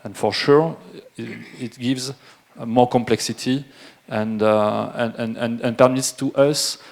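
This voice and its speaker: French-accented winemaker, halting and hesitant. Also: male voice, mid-range voice, fairly even intonation, creaky. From France. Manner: hesitant, many fillers and restarts. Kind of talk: seminar presentation. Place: event room with a live audience.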